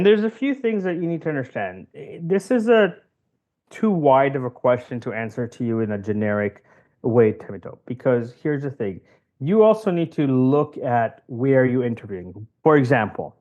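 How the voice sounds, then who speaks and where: A man's voice: medium pitch, darkish tone, lo-fi sound. A man who grew up in West Africa, explaining advice conversationally as a podcast guest, with examples and repeated phrases for emphasis. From West Africa (Cameroon and Ivory Coast). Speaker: podcast guest, job interview coach.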